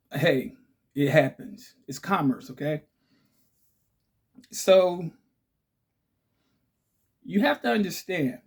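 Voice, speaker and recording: Raspy-voiced man, chatty vlog host. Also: fairly high pitch, darkish tone. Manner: chatty, repeats points with "okay?" and "right?". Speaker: channel host. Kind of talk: casual direct-to-camera talk.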